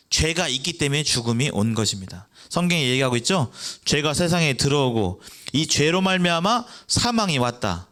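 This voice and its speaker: Male pastor, mid-pitched, very animated intonation, conversational sermon with questions to the pews. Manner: conversational preaching with rhetorical questions to the congregation. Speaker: preacher. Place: church.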